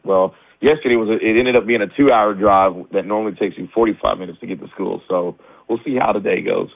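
A man, a high school basketball coach, talking candidly in a radio interview with lots of restarts; his voice is a little raspy as he recovers from the flu. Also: quick pace, no room sound. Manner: candid, many restarts and fillers. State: recovering from flu, low energy. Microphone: phone line. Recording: tinny dry phone line.

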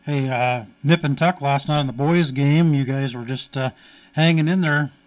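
Joking radio host. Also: male voice, medium pitch, normal speed. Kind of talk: casual radio chat.

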